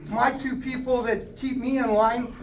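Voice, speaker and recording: A man's voice: very high voice, fairly even intonation, measured pace, a little hiss or hum. A man who runs a contracting business, giving a plainspoken speech at a company party with many fillers and restarts. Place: indoor event hall. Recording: distant mic in a crowded hall.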